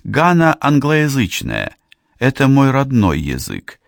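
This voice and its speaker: A man's voice: medium pitch, huge pitch swings, takes it slowly, creaky, no echo, clean studio sound, voice pushed up, exaggerated voice. A man reading an audiobook voices a friendly middle-aged female hairdresser from Ghana, talking in a chatty, confiding way. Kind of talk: audiobook character voice.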